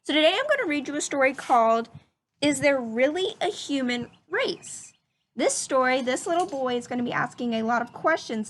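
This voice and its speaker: A woman's voice: high voice, a bit bright, a little hiss or hum. A woman teaching young children through a read-aloud, explaining things simply and putting questions to her listeners.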